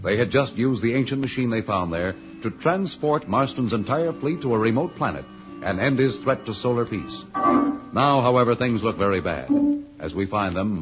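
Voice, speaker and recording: A man announcing and narrating an old-time radio serial in a dramatic, scripted style. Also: medium pitch. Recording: muffled old radio recording. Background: loud organ music.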